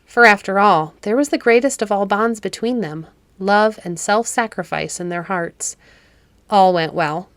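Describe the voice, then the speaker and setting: A woman's voice: medium pitch, a little slow, dry recording. A woman narrating a classic children's story as a read-aloud, in a dry, close recording. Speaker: storybook narrator and podcast host.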